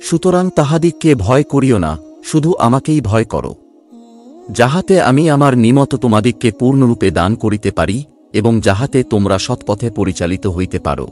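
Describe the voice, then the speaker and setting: A man narrating a scripture translation in a formal, solemn scripted reading with measured phrasing. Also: mid-range voice, expressive, darkish tone, soft background music.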